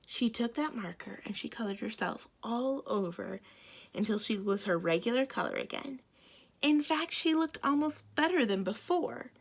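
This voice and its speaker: Animated, higher-pitched woman reading a storybook. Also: creaky, breathy edge. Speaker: library storyteller. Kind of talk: expressive children's picture book read-aloud.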